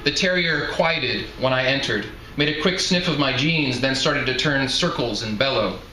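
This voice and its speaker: A man's voice: medium pitch, moderate pace, sharp tone. A man giving a live literary reading, narrating like an author presenting his own work. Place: indoor live reading event before an audience. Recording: roomy echoing live venue, some noise.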